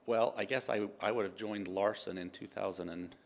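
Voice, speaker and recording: A man in his fifties from Utah, a tax partner answering interview questions with dry, self-deprecating humor and many pauses. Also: fairly low pitch, steady tone, gravelly.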